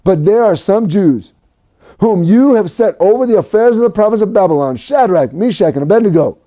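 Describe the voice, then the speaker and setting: A man from Mexico preaching a sermon for an online service, animated and rising to shouts at his peaks. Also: high-pitched, fairly quick. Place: home recording for a virtual service.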